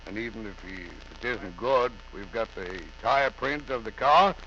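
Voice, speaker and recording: An actor playing a genial but probing police captain in an old radio drama, brisk and plain-spoken. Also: male voice, fairly low pitch, expressive, sharp tone. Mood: businesslike. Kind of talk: 1940s radio drama acting. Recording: dull vintage radio, faint hum.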